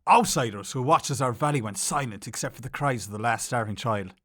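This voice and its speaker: A man's voice: medium pitch, fairly quick, fairly bright tone, no room sound, clean studio sound, natural voice. A man playing a fierce, embittered farmer defending his land, with an Irish accent, in a dramatic film monologue. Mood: embittered, accusing.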